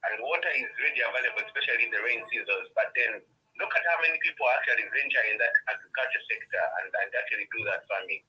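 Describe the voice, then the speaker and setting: A man's voice: mid-range voice, quick pace, pushed and bright, husky. Earnest Zambian man on a phone line. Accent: Zambian English. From western Zambia. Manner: earnest, argumentative, many restarts. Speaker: caller. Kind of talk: phone-in comment.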